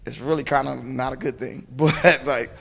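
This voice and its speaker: A hoarse young man on a talk panel, his voice gravelly and fry-heavy. He rambles along in a loose, casual way, circling back with fillers, while a long reverb trails after his words. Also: mid-range voice, steady tone, moderate pace, fairly bright tone. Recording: long reverb, faint noise.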